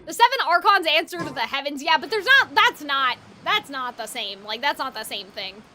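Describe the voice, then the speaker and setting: A woman's voice: high-pitched, sing-song, normal speed, sharp tone, slight background noise. A woman game streamer chatting casually, musing aloud in a rambling way over game music. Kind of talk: casual livestream chat. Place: livestream over a video game.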